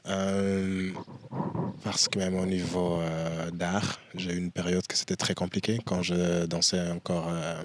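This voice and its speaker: A young man from Belgium, a dancer and performance artist, speaking as an interview guest outdoors at a festival, pausing often with fillers. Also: sits low, little pitch movement. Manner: halting, many pauses and "euh" fillers. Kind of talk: casual interview.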